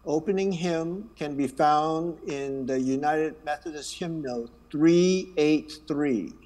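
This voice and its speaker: Man, slow, slightly high voice, friendly church announcements, unhurried asides. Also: darkish tone, poor audio. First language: Chinese. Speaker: worship leader reading announcements. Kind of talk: church announcements and call to worship. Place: church sanctuary.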